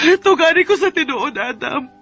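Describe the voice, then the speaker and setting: An actress playing an emotional, reproachful young jilted bride with a Filipino accent in a radio drama, with music underneath. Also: female voice, high voice, expressive, moderate pace, fairly bright tone. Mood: hurt, reproachful.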